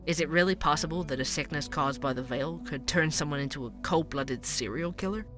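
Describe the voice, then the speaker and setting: A man's voice: on the high side, a little fast, over music, lightly acted. A man playing an inquisitive, earnest true-crime podcast host, delivering scripted narration. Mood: serious, intrigued.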